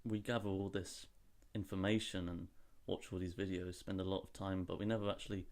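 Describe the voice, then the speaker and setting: A man's voice: sits low, flat delivery, brisk, darkish tone. A young man raised in Singapore and Hong Kong, co-hosting a casual podcast. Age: young adult. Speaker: podcast co-host.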